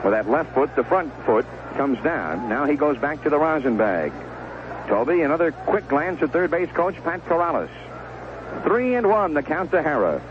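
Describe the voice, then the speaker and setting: A man's voice: on the high side, very animated, moderate pace, muffled recording, noisy background. A man calling live baseball play-by-play in short, clipped phrases and conversational description, heard on a 1970s radio broadcast. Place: stadium broadcast booth. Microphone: broadcast microphone with headset earphone monitoring.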